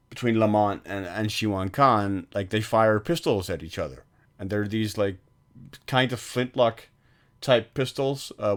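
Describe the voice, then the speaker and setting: Guy with a lowish voice chatting about a movie, lots of ums and restarts. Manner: hesitant, many restarts and fillers. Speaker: podcast co-host. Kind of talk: casual film discussion.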